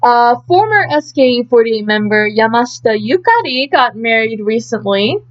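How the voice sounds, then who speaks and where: Woman, fairly high voice, casual news host, chatty, hesitant delivery, slightly dull lo-fi recording. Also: expressive, normal speed. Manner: chatty, hesitant, thinking aloud. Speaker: host of an idol news update. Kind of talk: casual news recap read from notes.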